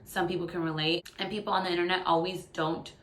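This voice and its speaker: A woman in her late twenties talks casually to her phone as a video blogger. Her voice sits a bit low for a woman, and the room adds a clear echo. Her delivery is informal and candid, like catching viewers up on her life. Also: flat delivery, quick pace, fairly bright tone. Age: late 20s. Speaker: YouTube vlogger. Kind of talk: casual personal update to camera. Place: living room by a window. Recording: echoey room sound on phone.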